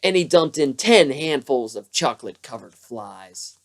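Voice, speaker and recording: A narrator reading a children's bedtime story aloud with expressive, emphatic delivery. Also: mid-range voice, normal speed, creaky.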